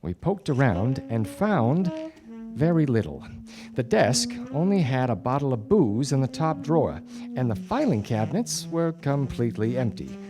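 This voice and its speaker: Wry, animated 1940s gumshoe. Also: male voice, medium pitch, normal speed. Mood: wry narrating. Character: 1940s gumshoe detective, male, wry and dogged. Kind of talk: 1940s radio noir acting and first-person narration. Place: theatre stage before a studio audience. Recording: live stage radio play with music.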